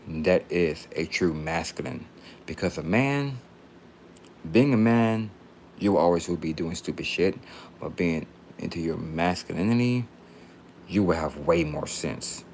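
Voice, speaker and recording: A man's voice: deep voice, darkish tone, a little hiss or hum. A man in his late twenties from Texas, chatting casually on a livestream in a rambling, repetitive, slangy way. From Texas, United States.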